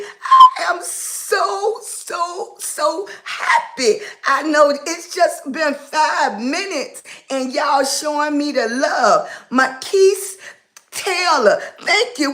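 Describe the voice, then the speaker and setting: A woman from Virginia with a Southern accent, chatting live with her viewers, greeting them by name and calling them baby. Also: high-pitched. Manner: warm, affectionate, calls everyone baby, drawn-out words. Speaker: live streamer. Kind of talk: casual live-stream chat greeting viewers.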